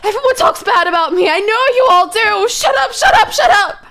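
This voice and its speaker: A woman's voice: very high-pitched, sing-song, normal speed, a bit bright, heavily acted. A woman playing a tormented, paranoid eldest son in a drama, frantic and overwrought as he breaks down. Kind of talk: dramatic character acting.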